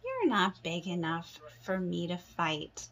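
A woman voicing a grouchy, boastful ladybug that shouts and picks fights in a picture book. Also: fairly low pitch, huge pitch swings, slow, full character voice. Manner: loud, shouting and screeching lines.

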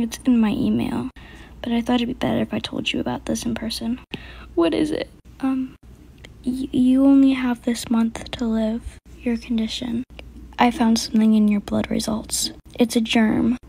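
Woman as hospital doctor: soft, solemn, halting, gentle. Homemade skit. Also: on the high side, flat delivery, gravelly, husky.

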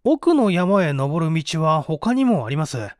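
A man acting an uneasy villager giving testimony, high-pitched. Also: sing-song, measured pace, no echo, clean studio sound. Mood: uneasy recounting. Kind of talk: audiobook reading of a vintage mystery.

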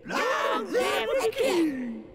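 A man's voice: very high voice, wildly swooping pitch, drawn-out words, shouting, a loud crowd, full character voice. An actor voicing a crude, boisterous male henchman in a video game, talking in a brash way. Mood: rowdy.

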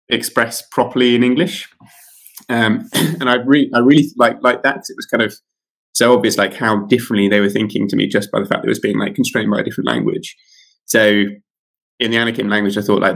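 A man's voice: on the low side, gravelly, fairly bright tone, poor audio. A man in his thirties, an author being interviewed over a video call from home, talking casually with many fillers and restarts. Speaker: author guest. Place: home room with curtains.

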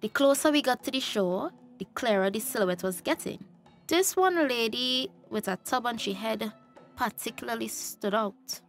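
A woman's voice: on the high side, lively intonation, normal speed, faint music behind. An actress with a Tobagonian accent playing a defiant, exasperated young woman in a radio drama. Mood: dreamy. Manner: sassy, indignant.

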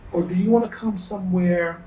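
A man's voice: high-pitched, steady tone, a little fast, mellow, slight background noise. Emphatic middle-aged man, roomy and distant. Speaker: interview guest. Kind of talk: reflective interview talk. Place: indoors against a pale wall.

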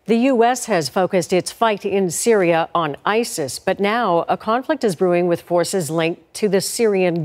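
A middle-aged woman anchoring a newscast from a TV studio, reading crisply with authority. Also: medium pitch, darkish tone. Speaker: news anchor. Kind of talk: scripted newscast. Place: TV news studio.

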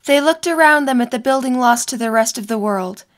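A woman's voice: a little high, a little fast. A young woman reading scripted story narration for an audio drama.

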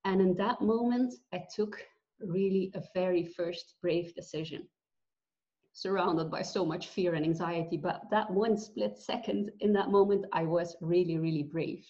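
A woman from Belgium in her forties, a guest telling her story with pauses and fillers over a video call from home. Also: fairly low pitch, fairly even intonation, mellow. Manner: earnest, reflective, with many pauses and fillers. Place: bright room at home. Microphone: video call webcam. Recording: dull lo-fi video call audio.

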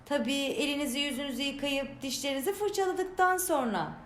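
A woman's voice: high-pitched, lively intonation, fairly quick. A woman voicing a kindly, practical farm mother in a children's storybook, calling out instructions. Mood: calling, caring. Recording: roomy echo, some noise.

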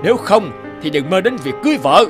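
A man voicing a fat, wealthy, scheming cartoon landlord in a pompous, taunting, drawn-out way. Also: high voice, very animated, over music. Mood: bossy. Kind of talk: cartoon dialogue acting.

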